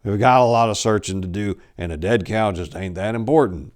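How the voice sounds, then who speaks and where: A man's voice: fairly low pitch, a little fast, natural voice. A man voicing a bored, dismissive small-town police officer in a story reading.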